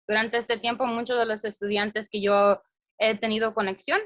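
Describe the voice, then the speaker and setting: A woman's voice: on the high side, flat delivery, normal speed, a bit bright, no echo. A young woman giving a community presentation, earnest and hesitant, with many fillers and restarts.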